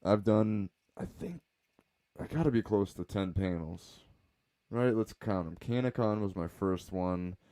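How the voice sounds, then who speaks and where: Low-voiced laid-back podcast host, man. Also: animated, unhurried, mellow, no room sound, studio quality. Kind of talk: casual reflective chat. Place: home, baby sleeping nearby.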